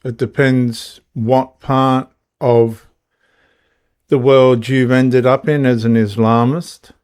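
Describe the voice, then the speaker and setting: A man's voice: mid-range voice, level tone, slow, darkish tone, clean tone. A man hosting the show, reading a scripted introduction and then cutting in with argumentative commentary. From Australia. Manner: argumentative, interrupts guest. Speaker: host.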